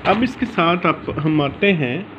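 A man's voice: on the high side, animated, slow pace, lo-fi sound, heavy background noise. A man with a Pakistani accent gives an informal law lecture from notes, mixing English legal terms into his speech.

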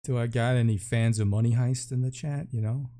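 A man's voice: medium pitch, steady tone, brisk, mellow. A man giving casual, chatty commentary as a video game streamer, with an informal, jokey way of talking.

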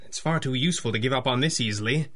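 An actor playing a shrewd, commanding masked vigilante in a 1930s radio drama, with formal, deliberate speech. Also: male voice, mid-range voice, a bit bright, lightly acted. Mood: brisk, measured.

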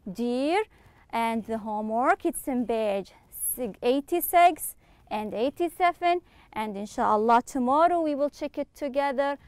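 A woman teaching English to children online, slowly repeating words and praising her pupils. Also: on the high side, clean tone, no echo. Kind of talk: online classroom lesson.

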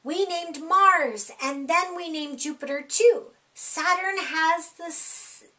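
A middle-aged woman reading a rhyming children's picture book aloud in an animated, sing-song, deliberate way for young kids. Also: extremely high pitch. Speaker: library storytime reader. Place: small indoor room.

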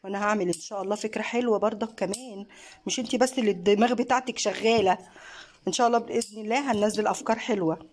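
An Egyptian woman filming a home craft vlog on her phone, talking casually and praising warmly as she films. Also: mid-range voice, very fast. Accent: Egyptian Arabic. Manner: warm praising, encouraging, mixes in many fillers. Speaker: craft channel host and mother. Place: living room at a home table. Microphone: phone camera held by the speaker.

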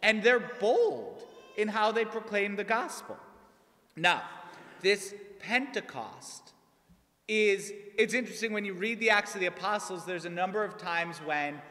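A man's voice: high voice, expressive, unhurried, bright, forceful tone. A man in his thirties, a priest giving a casual, conversational homily from a pulpit, often checking in with "right?". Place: large church, at a pulpit. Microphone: pulpit mic on a stand. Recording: long church reverb.

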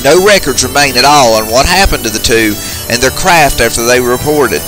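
A man's voice: medium pitch, a little fast, rough recording. A man giving casual, off-the-cuff commentary as he goes through a video game. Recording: game music behind the voice. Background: video game music.

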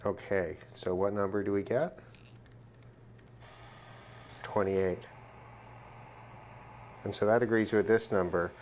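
Guy with a lowish voice doing a casual hands-on demo, kinda thinking out loud, some noise behind him. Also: fairly even intonation, rough recording. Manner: measured, thinking aloud. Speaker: hobbyist demonstrating a shortwave radio test. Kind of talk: informal hands-on gear demonstration. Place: indoors at a work desk.

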